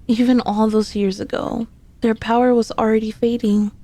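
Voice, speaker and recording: Woman acting a mellow, high-voiced sassy demon girl. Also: creaky. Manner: haughty, teasing, old-fashioned formal phrasing. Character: sassy, sneaky, possessive centuries-old female demon. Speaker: ASMR roleplay voice performer. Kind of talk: ASMR roleplay, scripted character acting.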